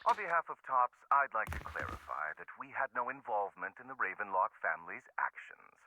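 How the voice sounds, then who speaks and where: A man's voice: on the low side, sing-song, brisk, bright, forceful tone, slight background noise, exaggerated voice, low-quality recording. An actor playing a curt male corporate spokesman in a game, making a clipped official announcement. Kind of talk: game cutscene acting.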